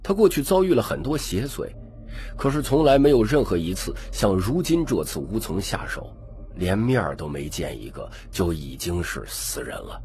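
A man's voice: on the low side, big pitch swings, moderate pace, darkish tone, faint music behind. A man narrating a scripted, dramatic audiobook story.

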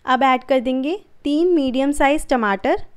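A woman hosting a cooking channel, giving calm, friendly step-by-step recipe instructions in a voice-over. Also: sits high, unhurried.

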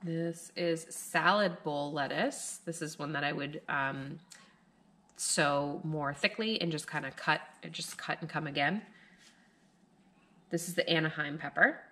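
A woman in her thirties with a low voice talks casually to a camera in her living room at night. She rambles along loosely and fills her pauses with "like" and "um" as she chats about her garden. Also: sharp tone. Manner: rambling and chatty, with many fillers. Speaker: homestead gardening vlogger.

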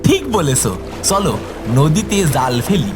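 A man voicing an excitable, scheming cartoon village fisherman who talks in exclamations. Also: on the high side, a little slow, music in the background. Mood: wary, agreeable. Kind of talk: cartoon voice acting.

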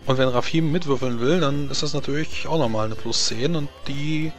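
A man's voice: mid-range voice, fairly quick. Man narrating a tabletop game over music. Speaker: game master. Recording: music under the voice. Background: background music.

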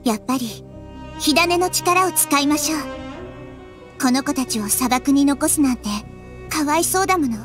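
A woman voicing a wise, caring little-girl deity in an anime game, soft, thoughtful and measured. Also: sits high, animated. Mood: gentle resolve. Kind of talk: Japanese anime game voice acting. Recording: music behind the voice.

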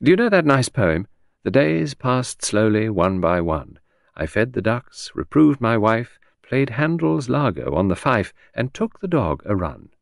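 A man putting on a raised voice for a cool, wry young woman sculptor with an offhand manner. The delivery is lively and dry. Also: on the low side, a little slow, creaky, no room sound, pitched above the performer's own voice, exaggerated voice.

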